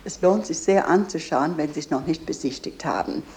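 Low, dark-voiced woman tour guide. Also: brisk.

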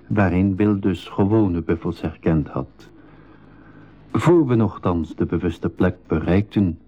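An apprehensive safari member in a radio play. His voice is low and husky. He tells the story in a literary, scripted way. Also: mellow, muffled recording. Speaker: narrator and member of the expedition. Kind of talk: scripted radio drama narration.

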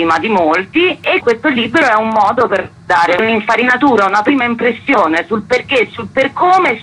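A woman author explains her work as a radio guest. Her speech is articulate and formal, running in long sentences, with a sharp, bright tone and a noticeably raspy texture. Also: medium pitch, low-quality recording.

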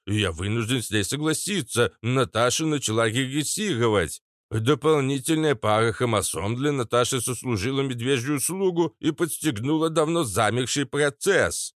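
Мужчина-чтец озвучивает старого лекаря, ворчливого всезнайку со скрипучим голосом, который всё растолковывает. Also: male voice, medium pitch, a bit bright, no echo, clean studio sound, voice pushed up, lightly acted. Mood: grave. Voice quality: creaky, scratchy. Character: old male healer, grumbling know-it-all explainer.

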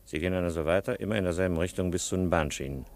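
An actor in a German radio play playing a furtive, self-assured border policeman giving hushed instructions. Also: low-pitched, very fast. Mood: furtive, directing.

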